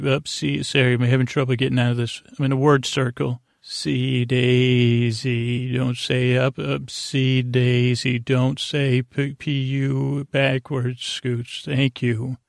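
A man with a creaky voice hosting a bedtime podcast, meandering and halting through a rambling monologue with pauses and tangents. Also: mid-range voice, flat delivery, no room sound, clean studio sound. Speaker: podcast host. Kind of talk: rambling bedtime monologue to help listeners sleep.

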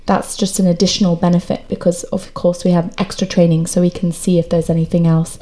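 A woman guest, a dental therapist, explaining her work with eagerness in quick bursts in a clinic room. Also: on the low side, level tone, dark tone. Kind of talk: conversational explanation.

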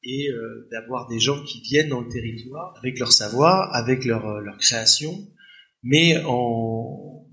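A man's voice: mid-range voice, a little slow, bright, forceful tone, poor audio. A man answering conversationally as an interview guest, hesitant as he searches for his words. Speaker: interview guest, restaurant professional.

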